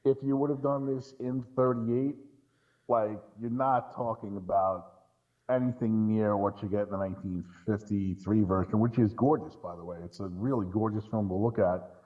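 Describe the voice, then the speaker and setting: A man's voice: fairly low pitch, steady tone, moderate pace. A man chatting casually about films in an informal, blunt way. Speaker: podcast co-host or guest commentator. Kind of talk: casual film discussion. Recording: dull, lo-fi audio.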